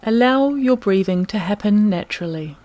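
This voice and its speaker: A woman narrating a guided meditation in a calm, gentle, unhurried manner, leaving long pauses between phrases. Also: medium pitch.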